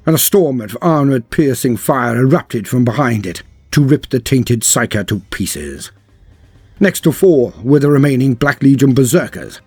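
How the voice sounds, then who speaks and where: A man's voice: mid-range voice, a little mellow, husky, no room sound. A man narrating a scripted tale in a grave, theatrical manner, drawing out words for emphasis. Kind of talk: dramatic scripted lore narration.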